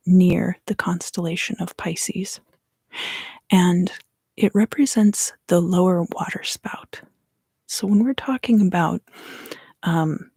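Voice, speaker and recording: Soft whispery lady doing asmr tarot on stream, real slow n soothing, lowish creaky voice. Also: no echo. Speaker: tarot reader and livestream host.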